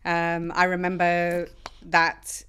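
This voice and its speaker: Smooth-voiced woman co-hosting. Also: lowish voice, completely flat delivery, takes it slowly, fairly bright tone. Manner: friendly, laughs often, asks questions. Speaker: podcast co-host. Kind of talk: casual chat. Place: studio.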